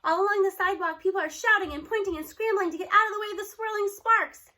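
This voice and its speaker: A woman reading a children's novel aloud in an expressive, animated storytelling manner. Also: extremely high pitch, fast talker. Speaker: audiobook reader.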